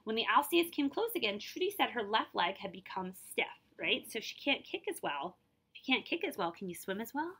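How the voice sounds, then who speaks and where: A woman in her forties reads a storybook aloud in a bright, fairly high voice. Her delivery swings widely in pitch as she narrates. She steps out of the text to explain things plainly and check that listeners follow. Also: sing-song, fairly quick, husky. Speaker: librarian reading a picture book aloud. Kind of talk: animated storybook read-aloud with explanatory asides.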